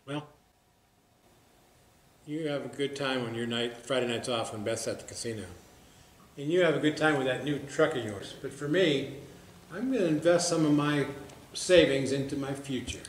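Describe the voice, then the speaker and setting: A man's voice: mid-range voice, normal speed, long pauses, natural voice. A man playing a gullible, lovestruck, stubborn bar regular in a stage comedy, talking in a folksy, earnest, defensive way. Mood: stubborn, hurt. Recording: echoey room with background noise.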